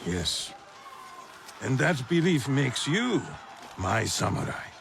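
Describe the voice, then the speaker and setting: A proud, theatrical warlord in a samurai game. He speaks slowly and deliberately, with wide swings in pitch and grandiose authority. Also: sing-song, vocal fry, a bit bright, some chatter behind, a little hiss or hum, exaggerated voice. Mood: exultant, grandiose. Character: commanding, ambitious Japanese warlord, proud and theatrical. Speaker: video game voice actor. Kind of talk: cinematic period drama acting.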